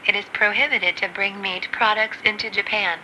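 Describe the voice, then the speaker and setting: Piercingly bright female voice, unhurried, formal flight attendant announcement, cabin PA. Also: medium pitch, fairly even intonation, rough recording. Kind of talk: scripted formal inflight announcement. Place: aircraft cabin over PA. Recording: cabin PA with faint noise. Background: cabin noise.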